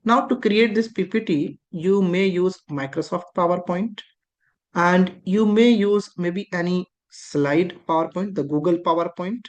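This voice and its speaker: Instructor, patient and repetitive, mid pitch. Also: a little slow. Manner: patient, repetitive explaining with frequent rhetorical questions. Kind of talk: explaining a lesson.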